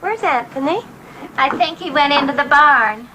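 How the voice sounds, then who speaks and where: A woman's voice: sits high, lively intonation, normal speed, bright, forceful tone. A woman playing a vague, querulous aunt with a dulled mind in a 1960s television drama, vacant and wandering. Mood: vague, puzzled. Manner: vacant, wandering, mildly complaining. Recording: noisy old TV soundtrack with clicks.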